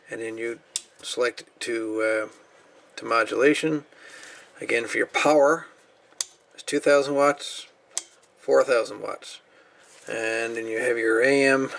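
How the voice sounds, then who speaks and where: A man's voice: mid-range voice, gravelly, a bit bright, slight background noise. A man hosting a casual hands-on review and repair video, talking in a folksy, colloquial way. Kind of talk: casual hands-on demonstration talk. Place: indoor workbench.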